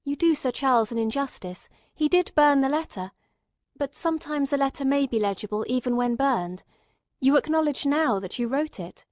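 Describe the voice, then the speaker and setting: Woman playing a gentleman investigator, formal, persistent, courteous, raised pitch, audiobook interrogation, dry recording. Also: expressive, normal speed, dry recording. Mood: pressing. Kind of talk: dramatic audiobook character reading.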